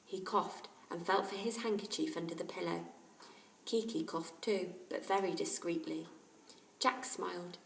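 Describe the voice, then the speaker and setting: A woman's voice: lowish voice, fairly even intonation, unhurried, creaky. A woman teacher narrating a children's story aloud. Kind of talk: children's audiobook-style narration. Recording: roomy, distant microphone.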